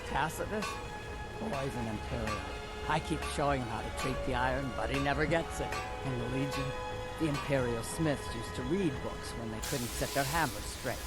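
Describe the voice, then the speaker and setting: Fantasy game blacksmith, played by a man: mid-pitched, plain-spoken, grumbling shopkeeper, music loud behind. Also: normal speed, close to an everyday voice.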